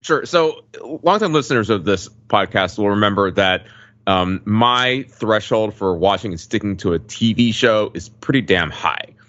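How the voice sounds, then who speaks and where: A man's voice: lowish voice, animated, normal speed, a bit bright, no room sound. An American man on a podcast panel giving a casual, informal recap with repeated starts, on a slightly muffled mic.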